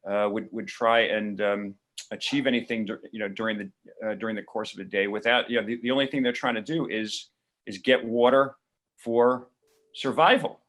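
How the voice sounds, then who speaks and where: A man speaks in a fairly low voice. He talks hesitantly, full of fillers and restarts, as a guest in a discussion. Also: a bit bright. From Queens, New York.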